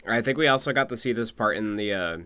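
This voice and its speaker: A man's voice: fairly low pitch, fast talker, bright, forceful tone, clear, resonant tone. A man giving casual live reaction commentary as a game streamer.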